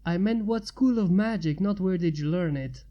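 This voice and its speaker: A woman voicing a wounded male mage, a polite, weary stranger who speaks weakly and haltingly, for a fantasy storybook. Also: on the low side, dark tone, muffled recording, lightly acted. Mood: weakly amused. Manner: weak, halting, courteous.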